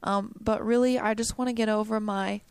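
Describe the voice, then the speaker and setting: A woman's voice: medium pitch, fairly even intonation, a little fast, creaky, clear, resonant tone, no room sound. A young woman, a college runner, answering interview questions in a chatty, upbeat way with many "um"s and "like"s. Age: young adult. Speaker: college cross country runner.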